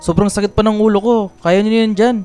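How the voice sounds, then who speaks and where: A man's voice: high-pitched, steady tone, brisk, warm tone, smooth, faint music behind, natural voice. An actor playing a lazy, irritable middle-aged father in a Filipino radio drama. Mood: grumpy, irritated.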